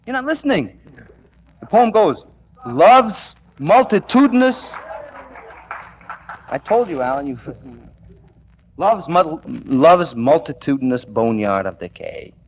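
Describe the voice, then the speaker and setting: A man's voice: fairly high pitch, sing-song, slow pace, long pauses, highs cut off, slight background noise. A man giving a rambling informal poetry recital, mock-grandiose and declamatory, breaking into banter, on an archival tape recording. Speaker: poet.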